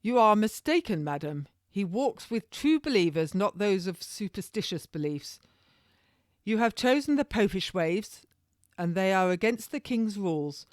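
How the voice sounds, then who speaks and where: A woman plays a menacing male official in a historical audiobook. He is mocking and accusatory, pushing his formal, old-fashioned words out higher and louder, in a dry, close recording. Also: no echo, close to an everyday voice. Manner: formal, accusatory, archaic speech.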